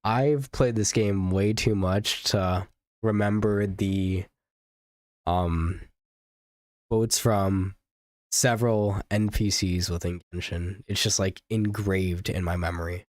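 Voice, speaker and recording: A man's voice: deep voice, steady tone, slow pace, dry recording, clean studio sound. A young man giving casual, chatty commentary as he plays a video game, thinking aloud. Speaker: gaming streamer.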